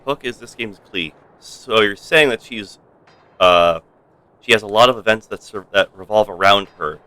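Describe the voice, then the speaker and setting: Bright, low-pitched man streaming a game. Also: moderate pace, smooth, no room sound. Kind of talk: casual live gameplay commentary.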